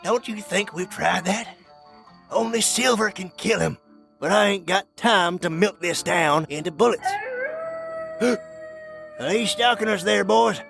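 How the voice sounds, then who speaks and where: A man playing a frantic old prospector with a rural Southern American accent and a gravelly, cracking voice in an audio drama. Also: high-pitched, measured pace, pauses often, over music. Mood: urgent. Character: frantic old male prospector guarding a secret. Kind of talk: scripted audio drama acting.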